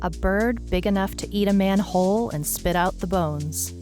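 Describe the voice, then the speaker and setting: A woman playing a wry, world-weary private detective, narrating her case log in a dry, deadpan noir style. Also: medium pitch, moderate pace, faint music behind, close to an everyday voice. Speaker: narrator, lead actor.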